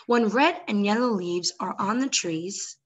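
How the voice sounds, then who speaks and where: A woman's voice: medium pitch, measured pace. Young woman reading aloud to small children. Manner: expressive, addressing small children directly. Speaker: children's story time host. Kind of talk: picture-book read-aloud for young children.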